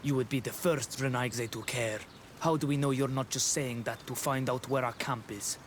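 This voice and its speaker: An actor playing a wary, solemn rebel scout in a fantasy video game. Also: male voice, mid-range voice, fairly even intonation, moderate pace, a little hiss or hum, natural voice. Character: wary male rebel scout of a native clan.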